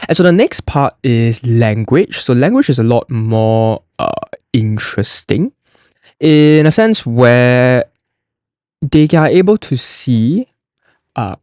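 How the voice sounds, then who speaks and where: A man's voice: medium pitch, a little mellow, clear, resonant tone, no echo, studio quality. A young man from Singapore, hosting an admissions podcast and walking through an application form in a chatty, loose way with frequent restarts. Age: young adult. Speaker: college admissions podcast host.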